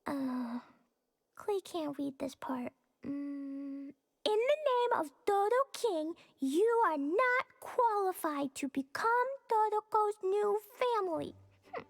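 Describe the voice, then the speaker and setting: A woman voicing a naive, earnest, stubborn little girl in a video game, with a cutesy childlike voice and an innocent, halting way of talking. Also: very high-pitched, dry recording, clean studio sound, full character voice. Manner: innocent, halting, speaks of self in third person.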